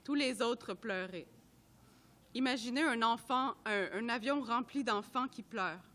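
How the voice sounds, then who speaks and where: A woman reading a report aloud at a public event, in a measured, careful way that sometimes trips over names. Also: fairly high pitch, fairly bright tone. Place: art gallery at a live event.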